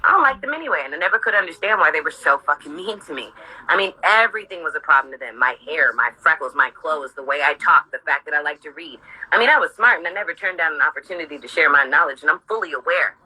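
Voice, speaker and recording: A woman with a husky voice reading her own memoir aloud informally, candid, sardonic and sweary, breaking off for asides. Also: deep voice, animated, fast, pushed and bright, rough recording.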